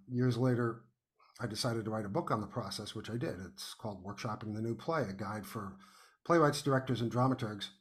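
A middle-aged or older American man from New York City, chatting casually as an interview guest and telling anecdotes in an animated way. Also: medium pitch. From New York City, United States. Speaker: podcast guest, playwright.

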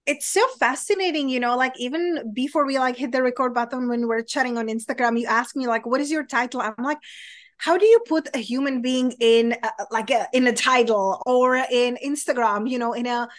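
A woman's voice: a little high, fairly quick, no echo, clean studio sound. A woman with a Czech accent, a guest coach talking at length in a casual interview, full of "like" and "you know". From Czech Republic. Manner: talkative, many "like" and "you know" fillers.

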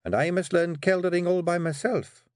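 Male reader as young Scottish fairy matriarch: high, sweetly pointed, firm, bossy, storybook fantasy. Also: normal speed, darkish tone, dry recording, studio quality, natural voice. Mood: firm, resolute. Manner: deceptively meek and sweet, pointed, controlled. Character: quietly dangerous young fairy queen, firm bossy wife. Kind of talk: audiobook character voice.

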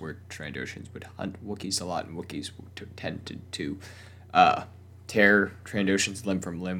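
A young man talks casually in a very low, gravelly voice. His voice sounds weak and strained from a lingering cough. He hesitates often and restarts his sentences. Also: pushed and bright. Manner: hesitant, many fillers and restarts. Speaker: podcast host. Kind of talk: casual solo talk.

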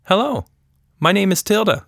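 A high, eager little girl's voice, as a man puts it on, curious and chatty. Her lines lift and fall a lot. The read is dry and close. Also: expressive, moderate pace, creaky, a bit bright, no echo, heavily acted. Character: curious, lively young girl.

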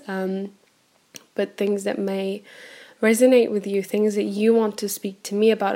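A woman's voice: mid-range voice, darkish tone. A German-born woman in her twenties who grew up in Portugal, hosting an unprepared solo podcast and talking candidly with many ums, recorded on a phone while sitting on the floor indoors. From Germany, raised in Portugal. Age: 20s. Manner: chatty and candid, frequent ums and laughs. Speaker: podcast host.

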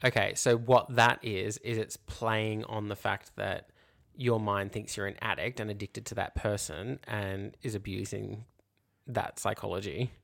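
A woman's voice: a bass voice, fairly even intonation, unhurried, vocal fry. A woman co-hosting a podcast in casual chat, reacting bluntly and backing up her partner. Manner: agreeable interjections, blunt short reactions. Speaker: podcast co-host.

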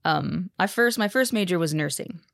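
A woman in her thirties chatting on a podcast, easygoing and quick to laugh. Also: lowish voice, lively intonation, gravelly, no echo, studio quality. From Texas. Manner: chatty, laughs easily, self-deprecating. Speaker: podcast producer and guest. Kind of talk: casual podcast chat.

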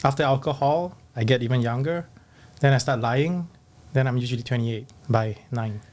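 A man's voice: mid-range voice, moderate pace, a little mellow. Wry late-thirties Singaporean man. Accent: Singaporean English. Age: late 30s. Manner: wry, joking, self-mocking. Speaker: podcast guest. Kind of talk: casual chat.

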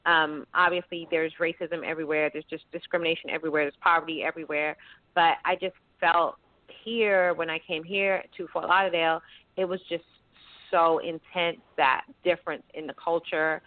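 A woman's voice: deep voice, steady tone, moderate pace, no room sound. A woman from the United Kingdom, raised in Florida, speaking earnestly and outspokenly as a guest on a call-in show, often restarting her sentences. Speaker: guest, educator and writer. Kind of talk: call-in radio interview. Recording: tinny phone line.

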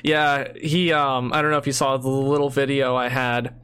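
A man's voice: mid-range voice, little pitch movement, fairly bright tone, clear, resonant tone. A man hosting an interview podcast, asking friendly questions and laughing along with his guest. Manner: friendly, laughs often, quick follow-up questions.

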